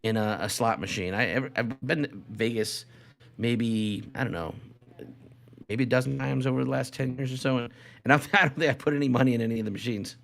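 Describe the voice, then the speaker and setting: A man co-hosting a casual unscripted podcast, speaking haltingly and repeating phrases for emphasis. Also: medium pitch, gravelly, fairly bright tone, a little hiss or hum. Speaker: podcast co-host.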